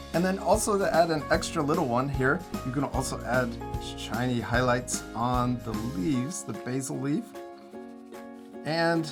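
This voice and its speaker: A middle-aged man, guiding children step by step through a drawing lesson with simple, encouraging instructions. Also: a little high. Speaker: host of a children's drawing tutorial.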